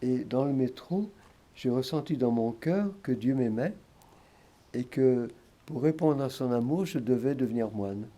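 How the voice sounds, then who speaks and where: A man in his sixties, a monk, calmly telling his story in a documentary interview. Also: mid-range voice, a little slow.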